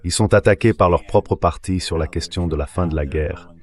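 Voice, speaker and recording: A man speaks in a very low-pitched voice. It is a synthetic voice-over translating an analyst's political commentary. The delivery is measured and explanatory.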